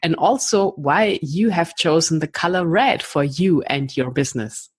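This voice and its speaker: A woman hosts a podcast interview in a very deep, husky voice. She asks her questions slowly and carefully, close to the mic in a dry sound. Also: dry recording. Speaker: podcast host.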